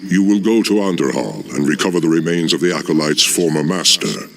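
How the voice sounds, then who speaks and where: A man's voice: a bass voice, expressive, brisk, creaky, a bit bright, faint music behind, full character voice. A man playing a smug, commanding demon lord in a video game, speaking with theatrical, condescending menace. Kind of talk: video game villain acting.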